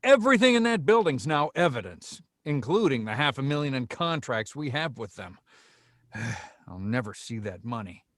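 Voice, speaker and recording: A man's voice: medium pitch, sing-song, normal speed, fairly bright tone, no room sound, studio quality. A man acting as a domineering, accusatory boss of a detective agency in a screenplay table read. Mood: bitter.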